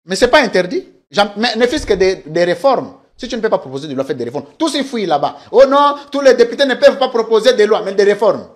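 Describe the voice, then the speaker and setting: A man debating on political talk TV, insistent and emphatic. His pitch swings widely, rising hard to drive points home and dropping low, and he sits a little high for a man. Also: big pitch swings, fast talker, few pauses. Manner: insistent, emphatic, talks over the host. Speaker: political guest.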